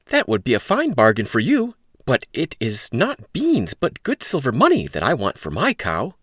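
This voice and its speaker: A man voicing a sharp young farm boy, polite but stubborn, in a storybook. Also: mid-range voice, wildly swooping pitch, moderate pace, husky, no echo, clean studio sound, voice pushed up, full character voice.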